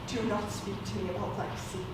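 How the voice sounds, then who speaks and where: A woman's voice: mid-range voice, steady tone, brisk, natural voice. A woman playing a proud, wronged magical fairy with theatrical, declamatory delivery in an amateur stage drama. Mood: bitter, furious. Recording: echoey room from far off, noisy.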